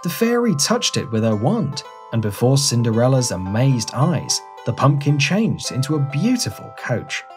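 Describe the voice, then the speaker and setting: Children's fairy-tale narrator, very expressive intonation, gentle music underneath. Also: mid-range voice, normal speed, hardly any pauses. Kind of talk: scripted children's storybook narration.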